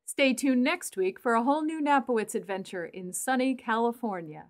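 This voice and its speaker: A woman's voice: fairly high pitch, animated, normal speed. A woman reading scripted announcements in the style of a 1930s radio station.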